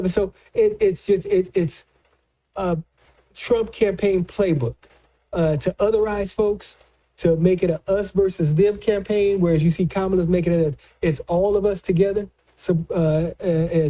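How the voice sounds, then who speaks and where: Man with a very mellow, higher-pitched voice. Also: fairly even intonation, moderate pace. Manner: emphatic, repeats phrases for stress. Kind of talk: casual political talk.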